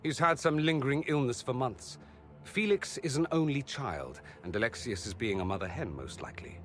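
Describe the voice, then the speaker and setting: An actor playing a witty, self-assured foreign mage in a video game, with an arch, flamboyant manner. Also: male voice, medium pitch, lively intonation, normal speed, faint music behind, natural voice. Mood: arch, explaining. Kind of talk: video game dialogue acting.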